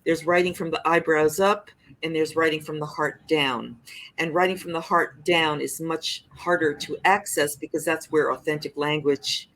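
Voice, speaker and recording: Older woman, lowish voice, reflective, expressive, restarts sentences, podcast interview guest. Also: normal speed, rough recording. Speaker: singer-songwriter guest.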